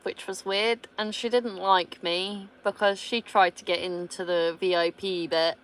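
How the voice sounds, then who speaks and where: A woman's voice: mid-range voice, fairly even intonation, a little slow, low-quality recording. A woman in her thirties with a British accent, chatting casually on her vlog and reading out viewer comments, blunt, swearing freely and laughing often. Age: 30s. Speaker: vlogger.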